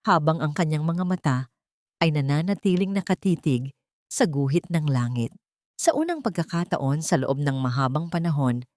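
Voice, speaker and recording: A woman reading a scripted romantic story as narrator, in a measured, solemn and sentimental way. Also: on the low side, darkish tone, dry recording, studio quality.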